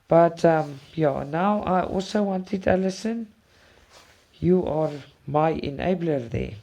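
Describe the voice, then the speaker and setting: Gravelly Afrikaans-accented woman, effusive chat. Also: deep voice, warm tone. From South Africa. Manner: chatty, effusive, repeats words. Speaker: cross-stitch channel host. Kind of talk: casual chat with live viewers.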